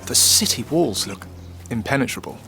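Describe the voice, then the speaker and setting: A man playing a calculating, patient French king in a historical audio drama, with a measured, condescending manner. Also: mid-range voice, lively intonation, creaky, pushed and bright, faint music behind. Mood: patronizing.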